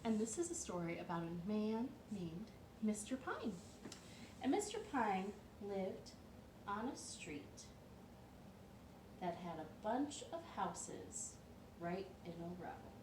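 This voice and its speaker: Woman, children's storyteller, unhurried, playful, emphatic, highly varied intonation, roomy home recording, slightly distant mic, faint noise. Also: sing-song. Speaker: storytime host. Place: living room at home.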